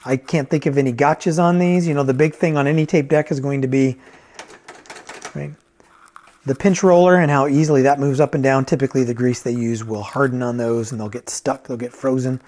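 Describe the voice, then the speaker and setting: A man's voice: medium pitch, fairly even intonation, a little fast, a little mellow. A man giving a casual, off-the-cuff product review as a reviewer and repairer, conversational and thinking aloud as he goes.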